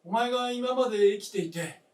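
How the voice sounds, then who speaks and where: An actor playing a frail, grieving old husband in a staged radio drama, speaking haltingly in hushed murmurs that surge with feeling. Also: male voice, sits high, natural voice. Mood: wistful reproach. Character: grieving, frail old husband longing for his late wife. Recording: roomy stage, distant mic, faint noise.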